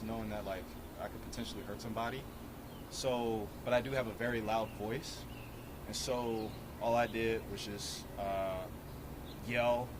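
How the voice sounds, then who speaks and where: A young man answering questions from reporters at a press conference, speaking earnestly and haltingly with frequent restarts. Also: mid-range voice. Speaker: bystander who stopped an assault. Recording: loud background noise.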